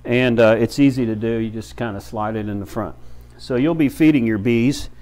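A man in his fifties with a medium-pitched voice explains things step by step. His delivery is informal and folksy, with halting phrases, like a hands-on instructor showing beginners the basics. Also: a little mellow. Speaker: beekeeper and bee farm shop owner, instructor. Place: small brick-walled room with many windows, indoors.

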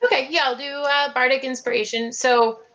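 A woman's voice: sits high, fairly even intonation, a little fast, a bit bright, highs cut off. A young woman player on a video call, working out her moves aloud in a hesitant way. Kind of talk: casual tabletop game play.

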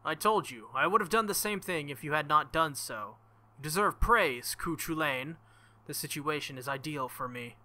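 A man voicing an arrogant, cold male knight in a visual novel, haughty and deliberate. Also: fairly high pitch, expressive, pushed and bright. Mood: smug.

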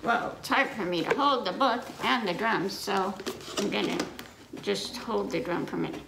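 A woman's voice: mid-range voice, normal speed, creaky. An older woman, in her sixties or older, leading a children's story time, reading aloud and explaining things simply with repetition, in a teacherly way. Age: 60s or older. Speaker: library story time reader. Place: indoor living room in front of a fireplace. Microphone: camera a few feet away. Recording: echoey room, noticeable noise, clicks.

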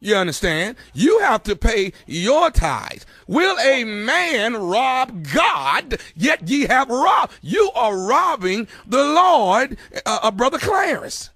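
A man playing a pompous, pushy church money collector in a deadpan prank call, insistent and preachy, often stammering. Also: very high voice, takes it slowly, hardly any pauses, breathy edge, no echo, heavily acted. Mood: indignant, preachy. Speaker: prank caller on a radio show.

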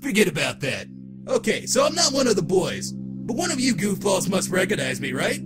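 A man's voice: high voice, very animated, moderate pace. A man voicing a zany, boastful masked trickster and crime boss in a comic book dub. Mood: smug menace. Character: manic green-faced masked trickster turned crime boss, gleeful and menacing. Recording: loud music behind the voice.

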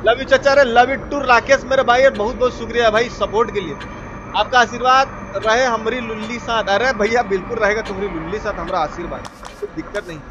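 High-pitched man streaming with an eastern Hindi accent. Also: a little fast, highs cut off, background noise. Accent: eastern Hindi belt (Bihar and Purvanchal). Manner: playful, colloquial, exclaiming, repeats phrases. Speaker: live game streamer. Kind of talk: casual live gaming commentary, thanking donors. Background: video game music.

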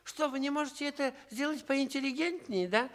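An actor playing an excitable, fussy, stammering male clown in a comic radio play. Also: extremely high pitch, fairly quick. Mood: fussy, indignant.

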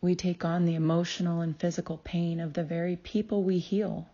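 A woman narrating a guided meditation in a calm, soothing way, with deliberate phrasing and long pauses. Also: on the low side, dull audio. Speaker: guided meditation host and healer.

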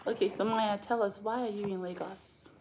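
A woman's voice: medium pitch, fairly even intonation, moderate pace, background noise. A young woman hosting a casual livestream chat from her living room, asking questions in a playful, curious way. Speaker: host of a livestream.

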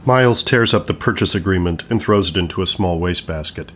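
A man's voice: deep voice, a little fast, background noise. A man reading scripted stage directions as the narrator of an audio play.

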